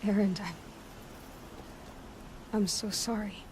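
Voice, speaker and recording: A woman's voice: medium pitch, fairly even intonation, takes it slowly, lightly acted. Woman voicing a young hunter girl in a game, thoughtful, determined, noisy ambience around her. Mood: sympathetic.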